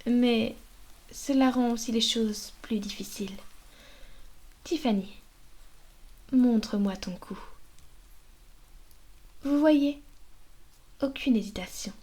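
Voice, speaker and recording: Mellow, high-voiced haughty vampire mistress, acted by a woman. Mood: sweetly menacing. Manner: poised, formal, condescending, deliberate. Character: haughty, menacing female vampire mistress of a noble house. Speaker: ASMR roleplay performer. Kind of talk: scripted ASMR roleplay.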